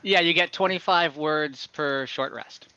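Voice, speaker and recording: A man running a tabletop game as game master, narrating casually in a halting, conversational way. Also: a little high, sharp tone, smooth, no echo. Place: online call, dry close room. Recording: dull lo-fi online call audio.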